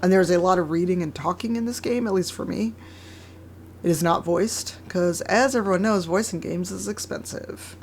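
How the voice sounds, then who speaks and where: A woman streaming a game, chatting casually with a hoarse, croaky voice and a wry, chatty manner. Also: fairly low pitch, moderate pace, darkish tone, a little hiss or hum. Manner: chatty, wry, reads chat aloud. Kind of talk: casual live-stream chat while gaming.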